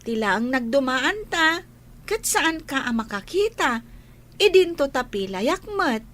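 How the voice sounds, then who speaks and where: A kind Ilocano girl in a radio drama. She talks very slowly in a fairly high voice, with a patient, caring manner. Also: big pitch swings, fairly bright tone, exaggerated voice. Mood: gentle.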